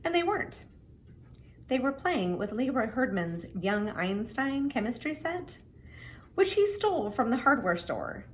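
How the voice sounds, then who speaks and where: A middle-aged woman reading to children in an expressive, simple, explanatory way. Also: fairly high pitch, unhurried, slight background noise. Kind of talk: children's read-aloud with friendly introduction.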